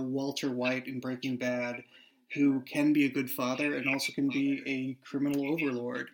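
A man speaking as a writer and podcast creator in a reflective, conversational discussion, earnest and a little halting as he works through his thoughts. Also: medium pitch, fairly bright tone, poor audio.

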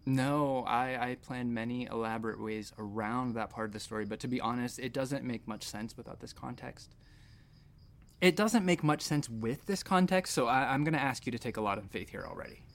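An actor playing a troubled space captain in therapy, rambling, self-deprecating and sardonic in a naturalistic audio drama style. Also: male voice, medium pitch, normal speed, vocal fry, natural voice. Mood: wry, candid.

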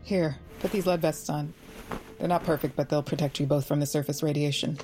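An actress playing a brisk, commanding female ally in an audio drama, formal and businesslike in delivery. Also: deep voice, fairly even intonation, warm tone, faint music behind, natural voice.

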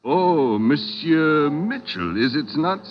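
Suave gentleman, old radio. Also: a little high, expressive, takes it slowly. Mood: dry, courteous. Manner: formal, precise, dryly polite. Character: suave, aloof Frenchman in colonial Saigon, formal and observant. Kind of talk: 1940s radio acting. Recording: dull vintage radio recording with music.

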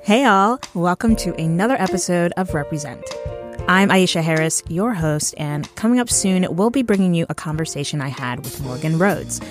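A woman hosting a podcast, chatting in a relaxed, conversational way. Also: low voice. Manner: relaxed and conversational, with soft laughs. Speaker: podcast host. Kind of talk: casual chat.

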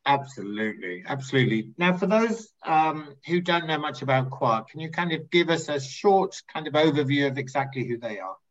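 A man's voice: fairly high pitch, animated, moderate pace, few pauses, highs cut off. Man hosting a podcast. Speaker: podcast host. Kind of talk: podcast introduction and interview.

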